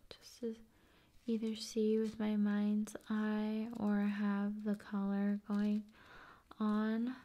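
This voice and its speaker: A woman doing ASMR in a soft, often whispered voice, with unhurried, soothing phrasing. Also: medium pitch, monotone. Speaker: ASMR video creator.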